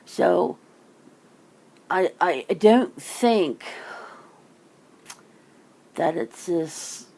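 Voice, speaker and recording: A woman's voice: on the low side, animated, extremely slow, gravelly, dark tone. A woman in a casual, rambling chat, musing informally as she hosts. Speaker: ASMR channel host chatting with a guest.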